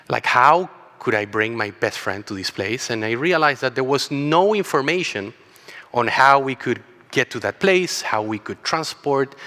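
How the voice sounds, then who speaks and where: A man's voice: medium pitch, bright, forceful tone. Chilean man speaking in a ringing hall. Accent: Chilean Spanish. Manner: earnest, storytelling, with repeated words and restarts. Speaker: keynote speaker. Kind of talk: personal story talk. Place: large conference hall. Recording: long hall reverb.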